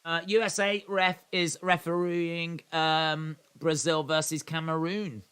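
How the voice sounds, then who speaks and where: A man's voice: a little high, level tone, slow pace, a bit bright, clear, resonant tone. A man in his thirties from England, hosting a casual live watch-along stream, chatting, rambling and reading out viewer comments with self-deprecating asides.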